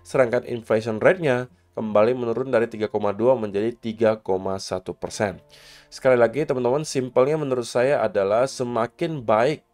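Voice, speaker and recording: A man with a slightly hoarse, rough voice from a cough explains market data in a steady, casual way, speaking to his viewers as friends. He sits at a medium pitch, and faint music plays softly behind him. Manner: explains steadily, mixing English market terms. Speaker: crypto market commentator.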